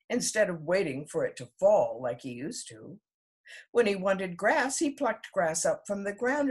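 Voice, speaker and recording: Husky, animated woman storyteller. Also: mid-range voice. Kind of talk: expressive children's story reading.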